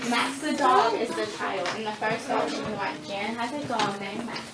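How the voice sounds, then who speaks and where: A woman's voice: on the high side, fairly even intonation, moderate pace, loud music behind the voice. A woman teacher instructing young children in a classroom reading lesson, giving directions and checking with questions. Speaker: elementary school teacher. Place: elementary classroom, away from the mic. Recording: echoey, distant, noisy classroom recording with clicks.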